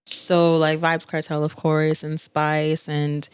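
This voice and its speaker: A woman's voice: low-pitched, completely flat delivery, slow pace, clean tone, no echo. A woman in her twenties from Jamaica with a New York accent, chatting casually as a singer guest in an interview. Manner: easygoing, laughing, chatty storyteller.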